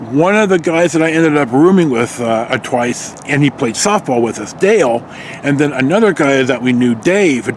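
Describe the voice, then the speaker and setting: A man's voice: fairly high pitch, slight background noise. An American man in his early sixties tells a personal story casually, in a rambling, salty-tongued way. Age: early 60s. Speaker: vlogger telling personal stories. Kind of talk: casual anecdotal storytelling to camera.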